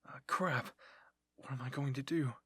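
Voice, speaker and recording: A man's voice: mid-range voice, normal speed, breathy edge, no room sound, studio quality. A man voicing a frightened, wounded young girl soldier in a dramatic fan fiction roleplay, pleading shakily and breathlessly. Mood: panicked.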